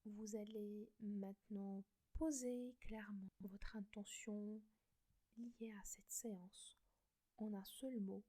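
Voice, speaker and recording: A woman in a soft breathy whisper, gently guiding a meditation close to the mic. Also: medium pitch, steady tone, takes it slowly. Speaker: meditation guide.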